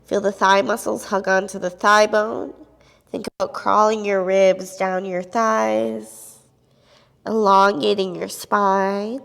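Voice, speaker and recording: A young woman teaching a yoga class on a clip-on mic, giving calm, steady cues with a slight sniffle from a cold. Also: medium pitch, slow, gravelly, long reverb tail. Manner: calm, steady cueing with soft fillers. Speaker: yoga instructor.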